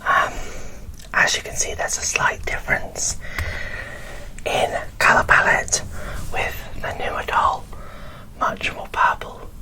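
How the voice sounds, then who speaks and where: A woman whispering in a breathy, barely voiced ASMR style, presenting dolls with gentle, deliberate phrasing into a close microphone. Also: poor audio. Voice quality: breathy whisper with little voicing. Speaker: doll collector presenting an unboxing.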